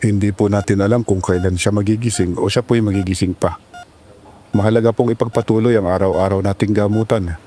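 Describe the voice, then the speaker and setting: A man acting in an audio drama as a calm doctor, formal, polite and measured. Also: on the low side, natural voice. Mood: calm, grave. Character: calm, formal male doctor delivering grave news.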